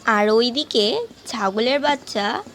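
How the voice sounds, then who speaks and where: A woman's voice: a little high, expressive, slow, a bit bright, a little hiss or hum. A woman narrating a children's animal story in a scripted storybook style.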